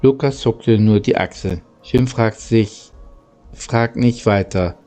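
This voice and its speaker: A man narrating a children's story from a script, in a halting way that stumbles over words. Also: on the low side, dark tone, faint music behind. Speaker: audiobook narrator.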